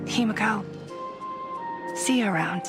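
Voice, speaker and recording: A woman's voice: mid-range voice, measured pace, vocal fry. An actress playing a friendly, eager, teasing young female student in a video game. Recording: music under the voice.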